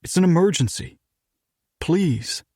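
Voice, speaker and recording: An earnest, placating young man, as a narrator voices him, speaking a little higher and firmer than a plain read. His voice has a gravelly texture, dry and close to the mic. He sounds calm but desperate to be believed. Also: a little slow, no echo. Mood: pleading. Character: weary American man, calm and pleading. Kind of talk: audiobook character voice.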